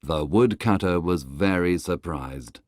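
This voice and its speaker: A man's voice: deep voice, takes it slowly, a little mellow, no room sound, studio quality. A man reading a scripted children's tale as its storybook narrator.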